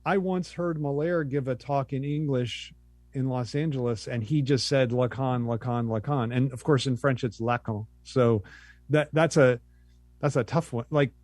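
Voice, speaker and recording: A middle-aged man chats as a podcast guest, easygoing and self-deprecating, working his thoughts out as he goes. His pitch sits in the ordinary middle range for a man. The recording is dry, with no room sound. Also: normal speed, no echo. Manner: relaxed, self-deprecating, thinking aloud. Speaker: guest academic. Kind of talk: casual interview chat.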